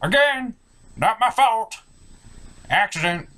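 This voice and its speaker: Man doing an excitable high-pitched squirrel puppet. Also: takes it slowly, full character voice. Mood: defensive. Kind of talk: scripted puppet comedy skit.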